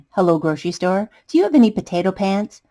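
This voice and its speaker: A woman voicing an excitable, dramatic, panicky male potato who wails and exclaims, in a children's storybook reading. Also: medium pitch, brisk, natural voice. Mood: eager. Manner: wailing, exclaiming, melodramatic.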